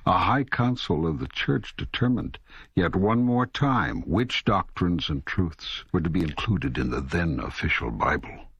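An older man voicing a wry, patient, authoritative God in an audiobook dialogue, gravelly, deliberate and emphatic. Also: sits low, a bit bright, no echo, lo-fi sound, full character voice.

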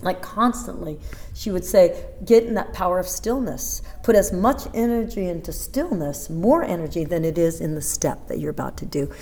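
A woman in her fifties, a dancer, chatting in an interview and telling animated stories with many restarts and asides. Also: on the low side, moderate pace. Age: 50s. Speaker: interview guest, Broadway dancer. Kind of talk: casual interview chat.

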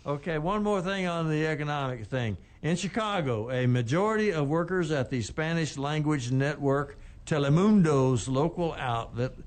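An older man co-hosting a radio talk show, giving labor news in a plainspoken, unhurried way. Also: a little high, expressive. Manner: plainspoken, unhurried, with frequent restarts. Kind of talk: casual labor news chat.

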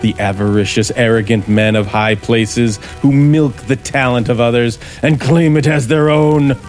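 An American actor in a 1950s radio drama playing a bitter, sardonic male scriptwriter plotting murder, with a theatrical, deliberate delivery. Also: medium pitch, steady tone, darkish tone, lightly acted. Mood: bitter, menacing. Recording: vintage 1950s radio recording with music.

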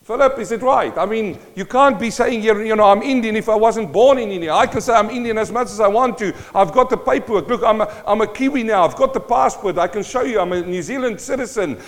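South African-born preacher in a reverberant hall. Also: male voice, sits high, fairly quick, hardly any pauses. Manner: emphatic, rhetorical questions to the congregation. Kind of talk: sermon.

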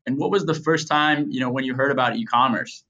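A man's voice: medium pitch, quick pace, pushed and bright, muffled recording. A male podcast host with a voice in the middle range. He asks his questions with eager enthusiasm and fills the gaps with loose, casual phrasing. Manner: enthusiastic, with many "you know" fillers. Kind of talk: casual interview hosting.

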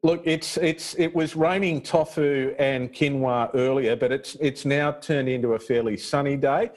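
A man's voice: medium pitch, fairly even intonation, measured pace. A man hosting a podcast, reading a guest introduction in an upbeat, joking manner. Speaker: podcast host. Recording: music behind.

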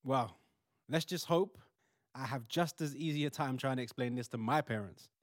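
A man's voice: mid-range voice, moderate pace, dry recording, studio quality, lightly acted. A man playing an earnest, insistent young expectant father in an audio drama. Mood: sincere.

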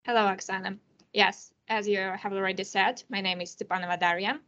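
A woman's voice: medium pitch, steady tone, a little fast, bright, forceful tone, highs cut off. Russian-accented young woman, hesitant speech. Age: teens. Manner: careful, with frequent hesitations. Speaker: international student and podcast guest.

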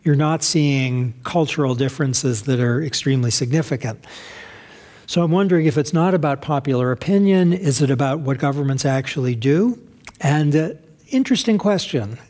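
A man's voice: a little high, moderate pace, darkish tone. A man from the United States answering audience questions at a lecture, thoughtful and hesitant, with many fillers and restarts and frequent rhetorical questions. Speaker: academic speaker responding to questions.

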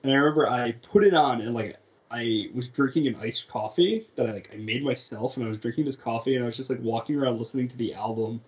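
A man's voice: medium pitch, quick pace. Laid-back young man, slightly muffled. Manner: laid-back, swears casually, trails off. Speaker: podcast co-host. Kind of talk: casual music chat.

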